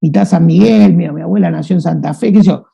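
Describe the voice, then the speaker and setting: A man's voice: a little high, fast, gravelly, mellow, slightly rough. A man from Buenos Aires with a Rioplatense accent, speaking as a guest in a casual interview, rambling with many restarts and fillers. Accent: Rioplatense Spanish. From Buenos Aires, Argentina. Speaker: guest writer. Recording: dull lo-fi sound.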